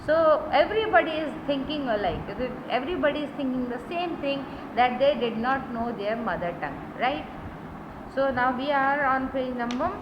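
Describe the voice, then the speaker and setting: An Indian woman teaches an English lesson in a slightly high voice, explaining slowly and restating each word. She checks with "right?" and switches into Hindi to make a point. Her voice rings around a bare room over loud background noise. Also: lively intonation, roomy sound, lo-fi sound. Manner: explains slowly, rephrases words and asks "right?".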